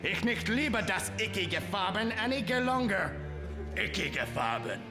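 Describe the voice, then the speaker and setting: A barking, guttural emperor with a comic fake German accent. His lively voice leaps up and down in pitch. It sounds like live radio comedy, with a roomy hall echo and music behind. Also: unhurried, full character voice. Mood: blustering. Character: blustering mock-German emperor, middle-aged man.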